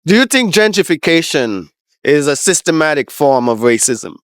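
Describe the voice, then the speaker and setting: A man conducting a street interview, asking short questions and answering with quick affirmations. His voice is slightly high and smooth. The recording is dry and close. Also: big pitch swings, no echo.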